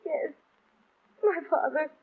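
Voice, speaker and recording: Nervous young daughter, high tremulous voice, halting, vintage radio acting, narrow old sound. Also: female voice. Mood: distressed, tearful. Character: anxious, fragile young daughter hiding a secret. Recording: tinny 1930s radio recording.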